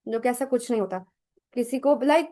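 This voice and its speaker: A woman's voice: a little high, normal speed, dark tone. A woman with a Pakistani accent giving an online talk over a video call, mixing English phrases into her Urdu in a chatty, teacherly way. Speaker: online session speaker.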